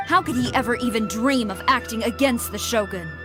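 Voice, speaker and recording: A woman's voice: a little high, fairly quick, over music, close to an everyday voice. A woman playing a stern, proud, loyal army general in an anime video game, formal and commanding. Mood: indignant.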